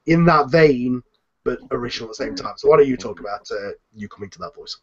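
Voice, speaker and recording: Halting man hosting on a muffled video call. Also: mid-range voice, animated, crowd noise. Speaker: host of a fan audio drama cast chat. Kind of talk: casual group video-call discussion. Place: indoors on an online video call. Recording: slightly muffled internet call audio.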